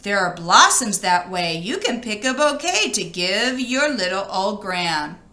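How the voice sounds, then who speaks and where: Casting: woman voicing sly, hungry male wolf for a storybook, complaining and coaxing, highly animated. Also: a little slow. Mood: sly, wheedling.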